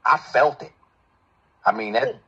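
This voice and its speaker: A man giving feedback in a halting, emotional way as a session participant, on dull lo-fi call audio. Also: fairly high pitch, sing-song, vocal fry, pushed and bright, husky.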